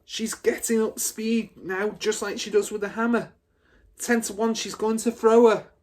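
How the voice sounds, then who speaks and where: A man's voice: sits high, fairly even intonation, moderate pace, pitched above the performer's own voice, close to an everyday voice. A man voicing a knowing, scornful schoolgirl who comments to her friends in a storybook. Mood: awed excitement. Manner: hushed, murmuring.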